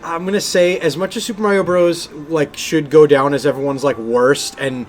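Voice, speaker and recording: Male co-host, clearly high voice, emphatic casual storyteller, slight noise in the recording. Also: steady tone, moderate pace. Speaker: podcast co-host. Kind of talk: casual film and game discussion.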